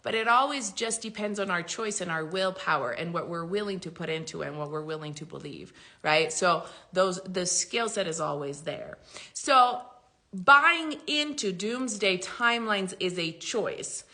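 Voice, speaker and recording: Bright-voiced woman, emphatic spiritual coach. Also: lowish voice, lively intonation, moderate pace. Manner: emphatic, swears casually, laughs often. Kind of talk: casual talk to followers, livestream-style.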